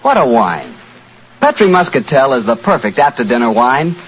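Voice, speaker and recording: An American male announcer delivering a folksy, enthusiastic 1940s radio sales pitch. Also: big pitch swings, normal speed, breathy edge. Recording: muffled, hissy 1940s radio broadcast.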